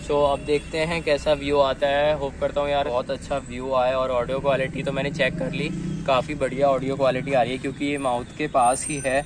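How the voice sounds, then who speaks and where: A young man talks straight to his viewers in a chatty, easygoing way, calling them guys as he shows off a gadget. His voice sits at an ordinary pitch and stays fairly level with little rise and fall. He is recorded outdoors on a phone, with faint noise from the open air around him. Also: level tone, brisk. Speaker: vlogger. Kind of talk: casual unboxing and test vlog. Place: outdoors in an open dirt field, later riding on a street. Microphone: phone camera, later a chest-mounted phone.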